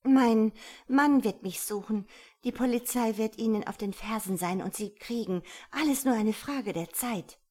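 A defiant female heroine from a romance audiobook, acted by a woman narrator. Her voice is pushed higher, with a husky edge. She protests in an agitated, emphatic and indignant manner. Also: unhurried, darkish tone. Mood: defiant, frightened. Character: defiant, indignant young woman resisting a captor. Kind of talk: romance audiobook character dialogue.

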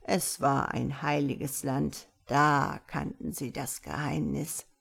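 Woman as a gravelly, patient wise old-world tomcat. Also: very deep voice, steady tone, voice pushed down, full character voice. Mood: solemn, mysterious. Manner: measured, gently instructive, slightly pompous. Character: wise, patient male tomcat, calm mysterious mentor. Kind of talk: storybook character voice.

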